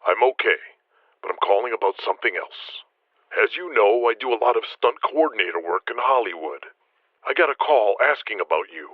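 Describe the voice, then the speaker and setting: A male actor plays a friendly, reassuring wrestler-stuntman in an audio drama phone call. His low, slightly husky voice comes through dull and muffled. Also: lively intonation, brisk, dry recording. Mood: earnest, reassuring.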